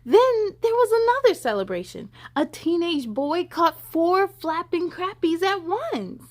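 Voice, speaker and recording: A woman's voice: extremely high pitch, very animated, unhurried. A woman hosting children's story time, reading expressively and dramatically and chatting directly to young viewers.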